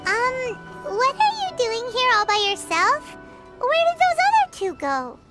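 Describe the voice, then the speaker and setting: A squeaky fairy companion. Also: female voice, big pitch swings, a little slow, over loud music, exaggerated voice. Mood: chatty. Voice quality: very high, squeaky. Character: tiny floating fairy companion, chirpy and blunt. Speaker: companion character. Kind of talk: video game voice acting. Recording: game score behind.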